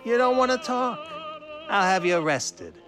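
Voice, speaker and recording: A man playing a smooth, menacing 1920s gangster boss in an audio drama, speaking in a deliberate, insinuating way. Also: sits high, very animated, music in the background. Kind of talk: period audio drama acting.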